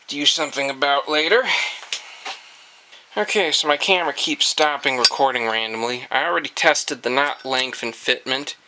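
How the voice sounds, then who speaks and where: A man's voice: medium pitch, sharp tone, a little hiss or hum. A man casually demonstrating a hands-on repair, thinking aloud as he works. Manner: thinking aloud while working. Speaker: host of an antique radio repair video.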